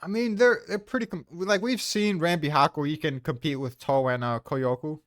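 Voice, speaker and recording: A man's voice: on the high side, moderate pace, a bit bright, no room sound, studio quality. A man in a casual chat, arguing his points with offhand swearing.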